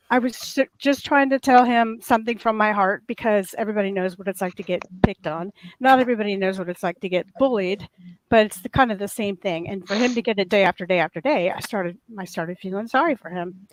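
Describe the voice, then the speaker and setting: Woman panelist, soft, slightly raw voice, earnest long-winded storyteller, dry sound. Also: mid-range voice, no echo, poor audio. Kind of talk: casual group chat, storytelling.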